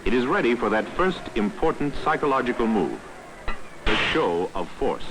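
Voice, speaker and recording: A man's voice: medium pitch, big pitch swings, moderate pace, background noise. A man narrating a 1960s training film from a script in a formal, authoritative, instructional manner, on a vintage soundtrack.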